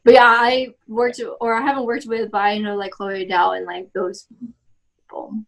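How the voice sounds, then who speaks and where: A woman's voice: medium pitch, flat delivery, moderate pace, gravelly, poor audio. A young woman in her twenties from Texas, answering oral history interview questions casually with many "like" and "um" fillers and restarts. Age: 20s.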